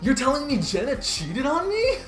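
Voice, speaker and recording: A woman's voice: high voice, huge pitch swings, moderate pace, pitched above the performer's own voice. A woman voicing a naive, easily hurt young man in an amateur comedic reading, earnest and incredulous. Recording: roomy echo with music behind.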